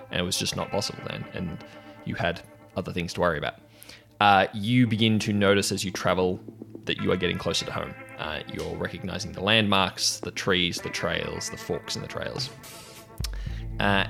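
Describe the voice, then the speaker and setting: Male game master, low-pitched, creaky, descriptive improvised narration, faint music behind. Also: a bit bright.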